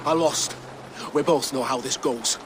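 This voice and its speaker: A man's voice: mid-range voice, unhurried, background noise, lightly acted. Solemn, defeated thane, acted by a man. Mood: resigned, defiant. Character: defeated Saxon thane, honourable, proud and weary. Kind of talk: dramatic video game acting. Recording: dramatic music behind the voice.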